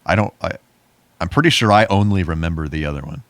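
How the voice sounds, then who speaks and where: Low-voiced man, laconic and halting. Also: lively intonation, fairly bright tone, dry recording. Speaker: podcast co-host. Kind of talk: casual podcast chat.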